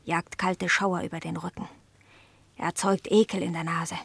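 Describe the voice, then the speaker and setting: A woman's voice: lowish voice, flat delivery, moderate pace, gravelly, a bit bright, breathy edge, dry recording, heavily acted. A woman playing a proud, jealous, cruel and mysterious cat in a 1960s German radio play, theatrical and sardonic, often whispery. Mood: hushed, eerie.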